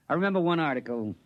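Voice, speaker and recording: Man acting easygoing American GI, wisecracking, slangy, animated pitch swings, dull old radio sound. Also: no echo, natural voice. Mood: wry. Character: wry, easygoing American private who writes pulp sci-fi. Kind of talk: 1950s radio drama acting. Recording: dull vintage radio recording.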